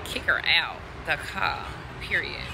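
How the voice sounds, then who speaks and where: A woman's voice: low-pitched, a little slow, creaky. A woman vlogger chatting casually to the camera, calling viewers y'all. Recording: noisy background.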